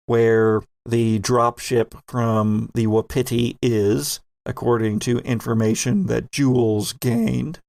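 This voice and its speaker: A man's voice: mid-range voice, steady tone, slow pace, gravelly, few pauses, a little mellow, dry recording. A man working as game master, narrating a tabletop game in an improvised, descriptive style that builds scenes in lists.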